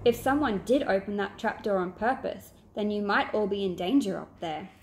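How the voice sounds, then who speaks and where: A woman's voice: mid-range voice, normal speed, lightly acted. A woman voicing an observant, caring girl from a storybook detective club, chatty and concerned.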